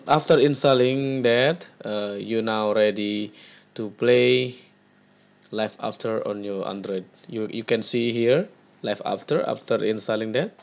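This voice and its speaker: A young man from Indonesia, not a native English speaker, talking through a computer tutorial on a headset mic in a halting way, with many fillers and repeated words. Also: lowish voice, creaky, highs cut off. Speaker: tutorial presenter. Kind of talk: screen-recorded gaming tutorial.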